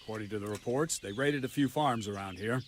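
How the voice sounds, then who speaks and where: A man's voice: fairly low pitch, a little fast, close to an everyday voice. Man playing a grim medieval captain, outdoor noise behind. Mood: businesslike. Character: medieval captain, grim, practical commander of soldiers. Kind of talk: video game voice acting.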